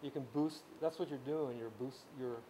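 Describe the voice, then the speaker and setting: A man's voice: medium pitch, moderate pace, mellow, slight background noise, rough recording. Rambling male music teacher explaining overtones. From Ukrainian-speaking background. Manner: explanatory, rambling, many restarts and "you know"s. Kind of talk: informal workshop lecture. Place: workshop room at a camp.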